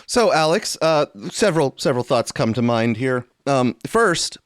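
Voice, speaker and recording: A man's voice: medium pitch, very animated, moderate pace, no echo. A man hosting a podcast, giving casual political commentary in a dry, mock-earnest, pedantic way. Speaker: podcast host.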